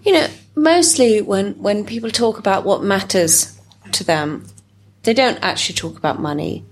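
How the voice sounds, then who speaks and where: A woman's voice: mid-range voice, lively intonation, moderate pace. A woman speaking thoughtfully in a discussion, with some hesitation. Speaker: discussion participant. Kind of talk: discussion talk.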